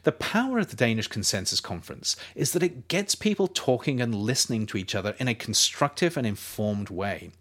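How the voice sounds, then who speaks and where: A man reading his own nonfiction writing aloud as a narrator, measured and explanatory, with emphatic phrasing. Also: medium pitch, very animated, a bit bright. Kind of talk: scripted audiobook-style narration.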